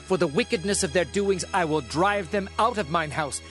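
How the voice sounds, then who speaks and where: A man's voice: high-pitched, normal speed, fairly bright tone, faint music behind. A man playing a stern prophet who speaks for an angry God, declaiming scripture solemnly in a dramatized reading. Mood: wrathful.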